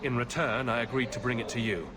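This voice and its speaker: An actor playing a wry, direct human adventurer in a fantasy video game. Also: male voice, mid-range voice, steady tone, fast, background noise, natural voice. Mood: questioning.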